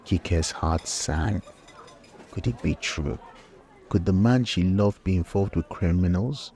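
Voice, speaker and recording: A man's voice: low voice, slow pace, mellow. A man narrating a scripted folktale as the storyteller.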